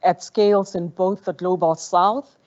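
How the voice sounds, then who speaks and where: A woman whose first language is Setswana, giving a measured, formal conference speech as a foundation program director. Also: fairly low pitch, fairly even intonation, darkish tone, lo-fi sound. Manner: measured, formal, with occasional stumbles. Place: conference hall.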